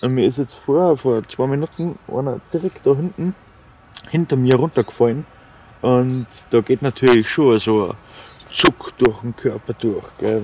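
A man's voice: mid-range voice, dark tone, slight background noise. A man, talking casually to camera and his dog while camping in a forest, rambling with many fillers. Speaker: outdoor vlogger. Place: forest camp at night, outdoors.

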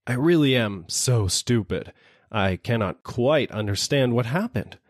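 A man voicing a sly little storybook jackal who acts slow-witted, vacant and overly apologetic. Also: medium pitch, lively intonation, no room sound, clean studio sound, voice pushed up. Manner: vacant, apologetic, exaggeratedly puzzled.

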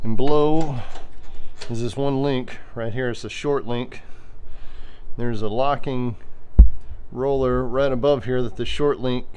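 A man's voice: mid-range voice, steady tone, a little slow, darkish tone. A plain-spoken man giving an informal, step-by-step hands-on explanation as he films on a handheld phone in a garage workshop. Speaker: host demonstrating his pinsetter machine. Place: indoor garage workshop full of machinery. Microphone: handheld phone camera. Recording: noisy, clattering machinery in garage. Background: machinery noise.

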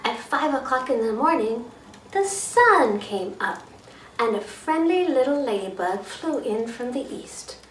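A woman in her thirties reading aloud to children as a storyteller, dramatic and expressive. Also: high voice, a little slow. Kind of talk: animated children's read-aloud. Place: living room by a fireplace. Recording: roomy, distant mic, faint noise.

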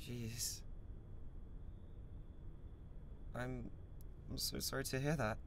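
A man's voice: a little high, a little hiss or hum. A British man playing an obsessive, lovesick young classmate with an awkward yet menacing manner, in a dramatic ASMR roleplay monologue. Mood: shy, concerned. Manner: halting, breathy laughs, swinging between shy murmurs and manic outbursts. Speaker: voice actor.

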